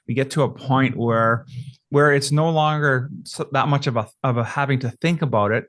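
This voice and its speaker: A man in his fifties hosting a podcast, asking questions in a reflective, halting way with frequent restarts. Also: mid-range voice, a bit bright. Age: 50s. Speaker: podcast host. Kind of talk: casual interview conversation.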